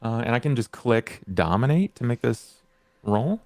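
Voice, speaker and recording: A man's voice: medium pitch, sing-song, moderate pace, creaky, dry recording. A man playing a tabletop game, talking casually and somewhat tentatively. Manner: hesitant, tentative.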